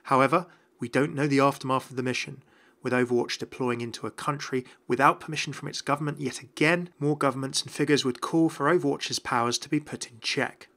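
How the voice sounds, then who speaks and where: Bright-voiced man narrating. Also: mid-range voice. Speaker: video narrator. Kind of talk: scripted lore explainer narration.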